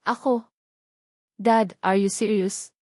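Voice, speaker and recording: A woman's voice: medium pitch, fairly even intonation, slow, mellow, dry recording. A woman plays an arrogant, hot-headed young heir in an audio romance drama. The spoiled son is scornful and defiant, heard in a dry, close sound.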